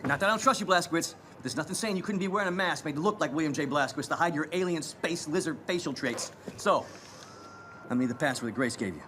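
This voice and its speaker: An actor with an American accent playing a jittery, foul-mouthed conspiracy-theorist ex-lawyer in a video game, rambling excitably. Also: male voice, a little high, quick pace, noisy background, close to an everyday voice. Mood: suspicious, agitated. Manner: rambling, excitable, swearing, emphatic. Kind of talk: video game cutscene acting.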